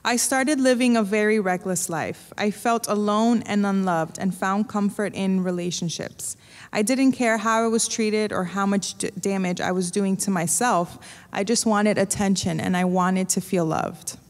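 A woman's voice: mid-range voice, normal speed. A woman interpreting a testimony, reading a prepared translation steadily, phrase by phrase, on a church stage. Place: church stage before an audience. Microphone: stand microphone. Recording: long reverb of a large church hall.

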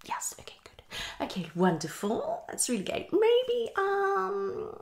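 A woman's voice: very high-pitched, wildly swooping pitch, vocal fry, noisy background, voice pushed up, full character voice. A young woman playing a hasty, tactless female psychologist in an ASMR roleplay, half whispering in a condescending, hesitant way. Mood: brisk, tactless. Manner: fast switching, hesitant repetitions, condescending. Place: small living room, indoors.

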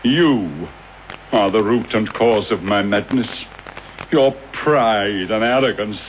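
An actor with a British accent playing a mad, vengeful, gloating villain in a theatrical, wordy radio drama style. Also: male voice, on the low side, slow pace. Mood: mad, bitter. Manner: theatrical, sardonic, elaborately wordy. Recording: dull, noisy old radio broadcast recording.

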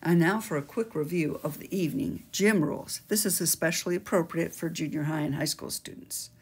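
A woman's voice: sits low, fairly quick, husky. An older woman on the school staff explaining gym rules to students in an emphatic, sing-song teacherly way, recorded on a webcam in a small office. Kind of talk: instructional school video. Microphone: webcam at desk.

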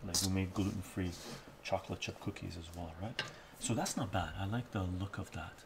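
Middle-aged guy cooking on stream, low gravelly voice, chatty with viewers, loud noise behind. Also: brisk. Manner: chatty, talks to viewers while working, frequent "right?". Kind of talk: casual live-stream cooking chat. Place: home kitchen, indoors. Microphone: fixed camera mic, moves toward and away from it.

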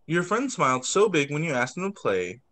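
Animated man reading aloud to children. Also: on the high side. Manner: expressive, drawn-out words, child-friendly asides. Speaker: teacher reading picture books to pupils. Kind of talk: animated read-aloud story time for young children. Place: indoors on a video call.

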